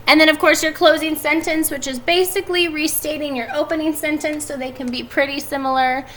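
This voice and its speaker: Bright, higher-pitched woman teacher. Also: steady tone, a little fast, a little hiss or hum. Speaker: elementary school teacher. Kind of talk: read-aloud and instructions for schoolchildren. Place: indoors at home by a fireplace. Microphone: computer webcam at desk distance.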